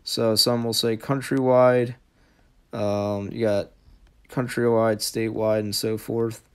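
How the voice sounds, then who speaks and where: A man's voice: lowish voice, level tone, slow, smooth. A man giving a casual how-to voice-over as the host of an app tutorial.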